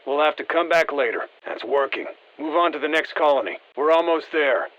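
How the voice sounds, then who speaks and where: Actor as a terse military squad operator, slightly muffled. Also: male voice, on the high side, a little fast, close to an everyday voice. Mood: focused, encouraging. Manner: terse, matter-of-fact military delivery. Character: seasoned male special-forces operator briefing squad, steady and encouraging. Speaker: military operator giving mission guidance. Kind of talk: scripted video game voice lines.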